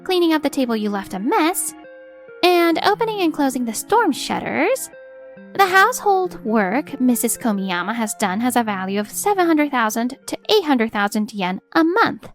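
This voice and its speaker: A woman playing a composed, steely attorney in a manga dub, speaking formally and with measured assertiveness. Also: high voice, very animated, faint music behind. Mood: formal, firm. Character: composed, steely female attorney confronting a husband. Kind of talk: acted manga dub dialogue.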